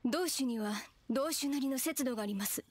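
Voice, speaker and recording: A woman playing a reserved, cryptic funeral parlor attendant in an anime game, polite, quiet and guarded. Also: sits high, moderate pace, no room sound. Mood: guarded, cautioning.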